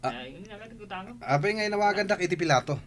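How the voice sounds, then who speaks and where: A man's voice: high voice, expressive, fast talker, crowd noise. A man with an Ilocano accent playing a brash, defensive young suitor in a radio drama.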